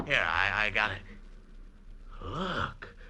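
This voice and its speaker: An actor playing a scruffy, simple beachcomber who stammers and rambles in rough grammar, in 1940s radio drama style. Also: male voice, fairly low pitch, big pitch swings, takes it slowly. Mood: nervous, bewildered. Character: snaggle-toothed scruffy beachcomber, jittery and simple, male. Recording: old radio broadcast with background noise.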